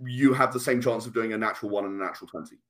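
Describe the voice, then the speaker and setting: A man's voice: on the low side, very fast. A British man explaining things conversationally as an interview guest, earnest, often restarting and interrupting himself mid-sentence. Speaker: guest, tabletop RPG and comic creator.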